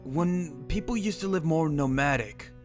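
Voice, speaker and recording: A man's voice: on the high side, a little slow, faint music behind. A young man roleplaying an old immortal wizard, rambling and restarting his sentences. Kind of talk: in-character roleplay chat.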